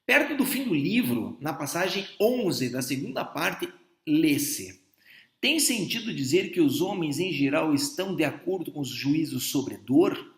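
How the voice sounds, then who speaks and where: A man's voice: fairly high pitch, sing-song, unhurried. A middle-aged Brazilian man giving a philosophy lecture to doctors, explaining step by step with frequent restarts and rhetorical checks. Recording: echoey room.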